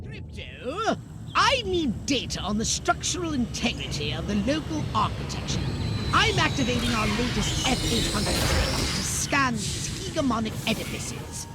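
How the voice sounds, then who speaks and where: A man's voice: very high-pitched, sing-song, normal speed. A man playing a pompous, wordy, scheming alien commander in a video game cutscene. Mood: businesslike. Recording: loud music over the voice.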